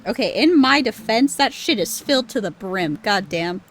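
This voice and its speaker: A woman's voice: on the high side, lively intonation, normal speed. A young woman streamer chatting casually over her game, swearing often and laughing at her own slip-ups, on a desk mic. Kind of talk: casual gameplay commentary. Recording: game music playing behind.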